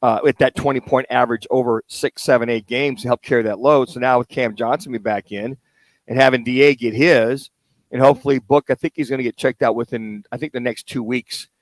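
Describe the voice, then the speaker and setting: A man's voice: medium pitch, fairly even intonation, fairly quick, no room sound. An American man co-hosting a sports radio show, giving casual, conversational analysis. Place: live remote radio broadcast at a car dealership.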